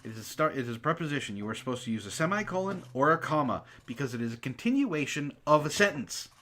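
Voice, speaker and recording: A book club host with a bright voice and lively pitch. The delivery is exasperated and profane, slipping from casual banter into mock-dramatic reading aloud. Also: fast talker.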